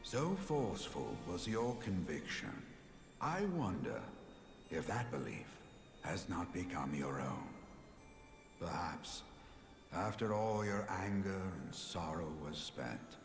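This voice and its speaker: An actor playing a solemn, tender old grandfather sage in a game cutscene, grave and archaic in his phrasing, with hushed phrase endings. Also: deep voice, very animated, slow, vocal fry, heavily acted. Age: older. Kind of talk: scripted dramatic game cutscene acting. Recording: echoey long reverb, game music behind. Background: game music.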